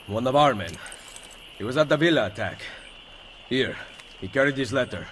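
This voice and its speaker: A man's voice: mid-range voice, animated, moderate pace, fairly bright tone, a little hiss or hum, natural voice. A man playing a resolute, commanding Italian assassin leader in a dramatic video game, with an Italian accent. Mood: determined. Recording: cavernous long reverb, music behind.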